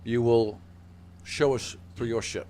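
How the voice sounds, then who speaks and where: A man voicing a wary, stern female leader of forest soldiers in a formal, measured, guarded way for a tabletop game. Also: mid-range voice, fairly even intonation, mellow, a little hiss or hum, natural voice.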